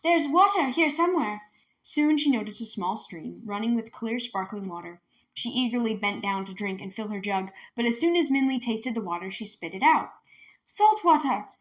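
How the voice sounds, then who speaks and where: A woman with a mid-pitched voice narrates a children's book. She reads as a storyteller, in scripted read-aloud prose. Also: expressive, fairly quick, rough recording.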